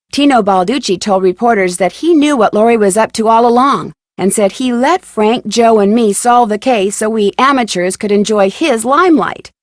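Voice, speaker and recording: A woman's voice: medium pitch, dry recording, studio quality, close to an everyday voice. A woman playing a plucky, wry young amateur detective, chattily narrating her letters in a video game. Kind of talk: scripted game narration, reading letters aloud.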